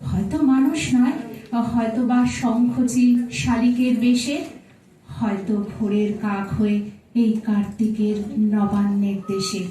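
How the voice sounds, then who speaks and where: A woman giving a formal, declamatory poetry recitation with measured phrasing. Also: fairly high pitch, little pitch movement, dark tone. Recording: very echoey room, distant mic, noisy.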